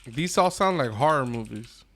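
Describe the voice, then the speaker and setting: A man's voice: mid-range voice, animated, moderate pace, a bit bright. Emphatic man bantering on a podcast. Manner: emphatic, hyperbolic, slangy and profane. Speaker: podcast co-host. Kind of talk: casual podcast banter about movies.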